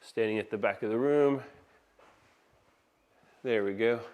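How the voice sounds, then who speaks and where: A man's voice: on the low side, measured pace, darkish tone. A man presenting an audio installation in a casual, enthusiastic video walkthrough, talking informally like a salesman while filming by hand in a living room. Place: inside a large open living room. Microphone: handheld phone camera.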